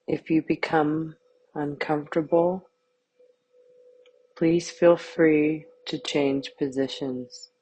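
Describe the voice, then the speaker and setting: A woman guiding a yoga nidra meditation with calm, soothing, measured scripted instructions. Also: low voice, breathy edge, low-quality recording.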